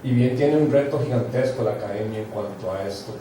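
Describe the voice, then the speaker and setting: A man's voice: lowish voice, a little fast, warm tone. A male university researcher, explaining figures on a conference panel with frequent fillers. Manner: explanatory, self-correcting with fillers. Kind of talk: panel talk with statistics. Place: large hall. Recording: echoey hall, far from mic, noisy.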